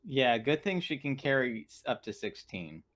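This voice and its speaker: A man's voice: medium pitch, big pitch swings, moderate pace, fairly bright tone. A man casually thinking aloud as a player in a tabletop roleplaying game.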